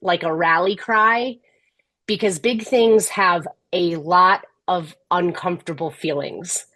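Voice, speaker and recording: A middle-aged woman speaking as a podcast guest, candid and measured, often restarting her sentences. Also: on the low side, a bit bright, no echo. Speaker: podcast guest, tech executive. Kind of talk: casual interview conversation.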